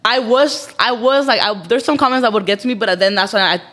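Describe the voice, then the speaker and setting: Fast-talking young woman with a deep voice. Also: a bit bright. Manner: blunt, swears freely, mixes English and Spanish. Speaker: podcast guest. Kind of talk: casual chat.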